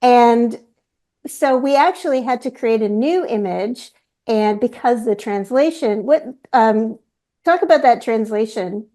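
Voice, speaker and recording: A woman hosting a webinar in a relaxed, rambling way, often correcting herself mid-sentence. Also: on the high side, unhurried. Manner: rambling, self-correcting, chatty. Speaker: webinar host. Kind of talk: casual panel discussion.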